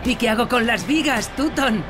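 A woman's voice: a little high, normal speed, close to an everyday voice. A woman with a Castilian Spanish accent voicing a timid, hesitant male crane in a children's cartoon dub. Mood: nervous. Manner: hesitant, anxious, fretful. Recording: music behind the voice.

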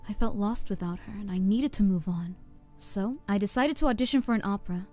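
A woman's voice: medium pitch, brisk, mellow, close to an everyday voice. A woman voicing an earnest young opera chorus singer who reflects on her past, in a fan audio drama with faint music behind.

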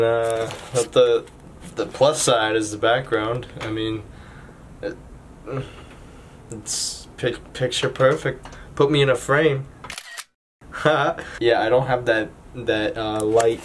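A man's voice: fairly low pitch, a little hiss or hum. A young adult man with a slightly lost voice, chatting casually and jokingly to camera in a small room as he vlogs. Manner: joking, rambling. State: slightly lost voice after illness. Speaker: vlogger, college student. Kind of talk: casual vlog chat to camera. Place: small dorm room, indoors. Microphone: handheld camera at arm's length.

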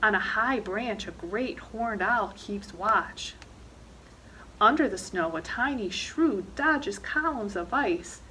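A woman's voice: medium pitch, animated, slow, bright, forceful tone, a little hiss or hum, rough recording. A middle-aged woman reading a children's picture book aloud expressively, with dramatic emphasis on action words. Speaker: librarian reading storytime. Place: indoor library reading corner, seated.

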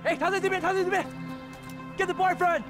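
A man playing an aggressive gang enforcer, shouting commands in a video game. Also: very high-pitched, steady tone, fast, music in the background. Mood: commanding. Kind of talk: video game action acting.

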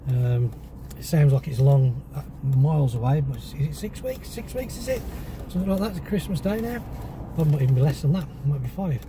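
A man in his fifties rambles to his viewers from behind the wheel, filling gaps with ums and uhs. His voice sits a little high, and loud road and engine noise roars under everything from a phone on the dashboard. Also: animated, a little fast, few pauses. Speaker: car channel host. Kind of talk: casual chat while driving. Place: inside a moving car. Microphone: phone camera on dashboard.

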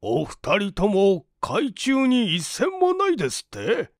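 An older, well-to-do gentleman acted out by a male narrator in a dramatic storybook reading. He is blustering yet kindhearted, speaking slowly and emphatically with big swings of pitch. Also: huge pitch swings, no room sound, clean studio sound, heavily acted. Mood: concerned. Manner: emphatic, old-fashioned, grandly exclaiming.

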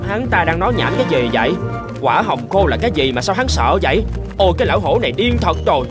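A scornful cartoon forest creature. He talks quickly in a lively, fairly high voice, incredulous and mocking. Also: music in the background. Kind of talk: animated folk-tale voice acting.

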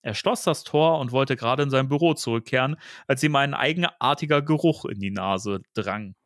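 A man's voice: medium pitch, normal speed, a bit bright, no echo, studio quality. A man hosting a podcast, reading a novel aloud and breaking off with giggly asides. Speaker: podcast host.

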